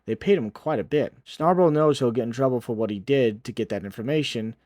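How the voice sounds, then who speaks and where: A man's voice: medium pitch, brisk, a little mellow, no echo, clean studio sound. A man hosting a video, reading scripted recap commentary. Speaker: video host.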